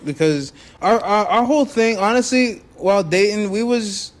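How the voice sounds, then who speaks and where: A man in his twenties with a fairly high voice talks casually with his partner. He is laid-back and jokey, and his speech is slangy. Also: expressive, normal speed. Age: 20s. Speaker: co-host. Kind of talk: casual couple chat.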